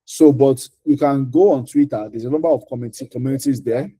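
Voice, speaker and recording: A man's voice: mid-range voice, fast talker, mellow, husky, rough recording. A man with a Nigerian accent giving informal career advice in a mentoring session, repeating key points for emphasis. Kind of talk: informal advice talk answering audience questions.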